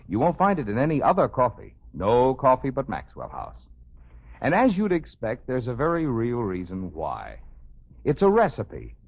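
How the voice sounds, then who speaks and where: A man's voice: fairly low pitch, slightly rough. A man delivering a 1950s radio sponsor message as announcer, with emphatic, folksy salesmanship. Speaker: commercial announcer. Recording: dull vintage 1950s radio recording.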